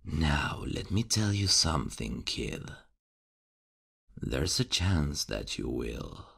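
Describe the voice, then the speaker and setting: A man playing a menacing, mocking male serial killer in an ASMR horror roleplay, taunting and chuckling in a hushed voice. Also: very deep voice, big pitch swings, slow pace, vocal fry, breathy edge, heavily acted.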